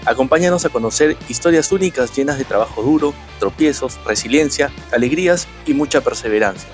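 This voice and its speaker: A man reads a podcast opening in a steady, announcer-like tone. Faint guitar plays beneath him. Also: mid-range voice, a little fast. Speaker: podcast host, intro announcer. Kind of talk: scripted intro then greeting.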